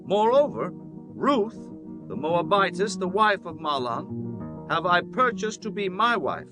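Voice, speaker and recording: A man's voice: high voice, big pitch swings, slow, over music, heavily acted. A man playing an earnest, honorable biblical landowner in solemn, declamatory scripture drama. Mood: proclaiming. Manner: solemn, declamatory, archaic formal speech. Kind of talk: dramatized scripture audiobook acting.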